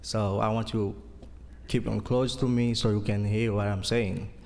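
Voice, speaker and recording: A man from Haiti with a Haitian accent giving a church testimony as a student, in an earnest, halting way as he searches for words. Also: on the low side, level tone, creaky, darkish tone. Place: church hall. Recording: long hall reverb.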